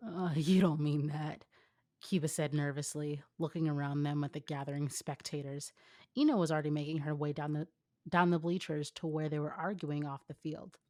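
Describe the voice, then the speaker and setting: A woman with a low voice narrates a story expressively. She slips into casual asides, and the sound is dry with little room. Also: fairly even intonation, a little fast, darkish tone, no room sound. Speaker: fan fiction reader.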